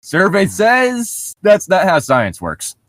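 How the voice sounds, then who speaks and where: Male comedic reader, animated and wide-ranging, dry recording, profane sarcastic asides in a casual style. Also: high voice, slow, no room sound. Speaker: host reading and riffing on a horror story aloud. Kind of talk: comedic read-aloud with casual commentary.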